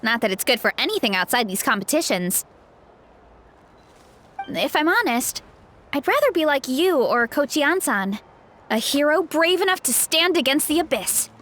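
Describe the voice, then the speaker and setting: An actress playing a sweet, modest, earnest young orchard worker in a video game, with a self-deprecating manner. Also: female voice, sits high, big pitch swings, moderate pace, long pauses, a bit bright. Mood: wistful, humble. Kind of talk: animated video game voice acting.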